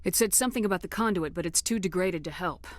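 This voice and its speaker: A woman's voice: lowish voice, quick pace, lightly acted. A woman playing a decisive, commanding space squad commander in a sci-fi game. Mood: serious.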